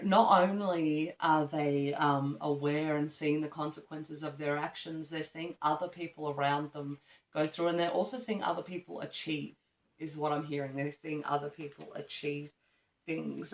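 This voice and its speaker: A woman giving a casual tarot reading, rambling with many ums and restarts, her voice slightly croaky from allergies. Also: deep voice, steady tone, moderate pace. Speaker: tarot reader. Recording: echoey room from far off the mic.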